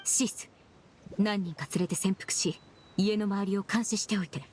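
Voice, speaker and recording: A woman playing a composed, polite young investigator in a video game, formal, measured and analytical, used to giving orders. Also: medium pitch, fairly even intonation, a little mellow, slightly rough, lightly acted. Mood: commanding, calm. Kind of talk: Japanese game voice acting.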